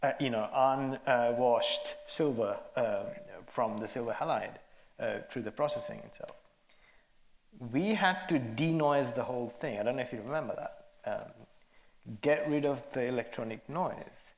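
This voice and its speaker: A man with a medium-pitched, creaky voice explains technical details informally as a cinematographer on a panel. The sound is roomy and echoing. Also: a little slow, slight background noise.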